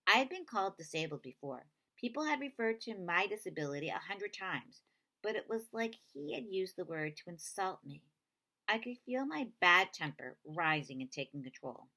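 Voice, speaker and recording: A woman's voice: mid-range voice, very animated, normal speed, sharp tone. A woman reading a children's novel aloud as first-person narration. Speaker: reader.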